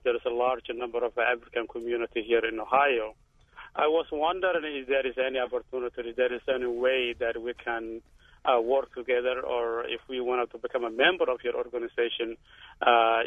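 A man's voice: medium pitch, dry recording. Polite man calling in over a tinny phone line. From Somalia. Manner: polite and formal. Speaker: caller. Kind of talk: call-in to a radio show.

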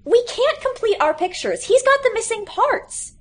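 Woman as whiny storybook schoolkid, indignant tattletale, pitched well up. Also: sing-song, moderate pace, fairly bright tone, full character voice.

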